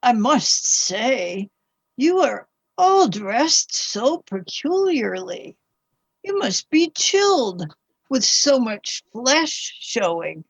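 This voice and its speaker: A woman playing a genteel, curious wife from the early 1800s. Her voice is fairly high and breathy, and she speaks slowly and formally in radio play style. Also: sing-song, no echo, full character voice, poor audio. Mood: polite, curious. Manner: formal, old-fashioned, genteel.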